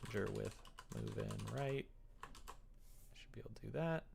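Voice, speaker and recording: Creaky-voiced livestreamer, casually thinking aloud. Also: medium pitch, normal speed, background noise. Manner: rambling, muttering to self, self-deprecating. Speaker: livestreamer making a game. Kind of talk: casual livestream chat, thinking aloud while coding and replying to viewers.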